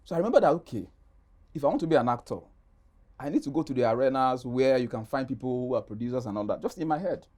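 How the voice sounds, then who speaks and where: A man's voice: medium pitch, animated, quick pace, a little mellow. Male interview guest, middle-aged, Nigerian accent, emphatic conversational storyteller. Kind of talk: animated personal storytelling in conversation.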